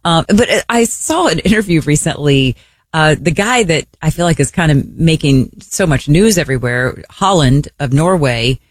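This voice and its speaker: A woman's voice: low voice, animated, normal speed, no room sound, studio quality. Rambling female radio co-host. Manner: rambling, many restarts and laughs. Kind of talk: casual radio chat. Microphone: studio microphone.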